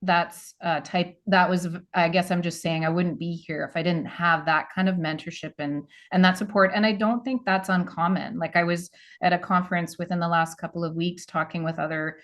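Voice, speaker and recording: A woman with a lowish voice speaking on a video-call panel. She is reflective and halting, restarting her phrases as she thinks. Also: fairly even intonation. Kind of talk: panel discussion.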